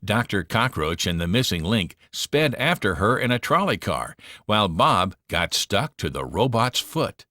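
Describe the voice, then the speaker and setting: A man narrating a children's read-along storybook in an expressive, dramatic manner. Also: fairly low pitch, unhurried, few pauses, no echo, clean studio sound.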